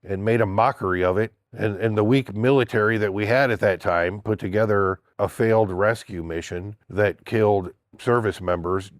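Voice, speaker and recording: A man's voice: fairly low pitch, fairly even intonation, normal speed, hardly any pauses, dry recording, clean studio sound. An older man with a stuffy, congested voice from a cold, giving a blunt, indignant opinion monologue in a casual vlog. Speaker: political vlogger.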